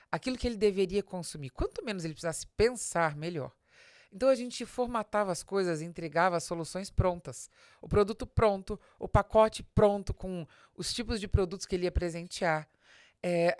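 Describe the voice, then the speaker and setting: Low-voiced Brazilian woman hosting a podcast. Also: no echo, clean studio sound. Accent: Brazilian Portuguese. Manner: direct, addresses listener with rhetorical questions and examples. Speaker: podcast host and business advisor. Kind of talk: conversational explanatory business podcast monologue.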